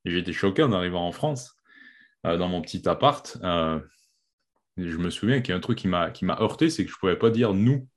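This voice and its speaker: Very deep male voice, relaxed and slangy, easy conversational flow. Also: a little fast. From Quebec. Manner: relaxed, slangy, frequent "tu vois". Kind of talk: casual conversation.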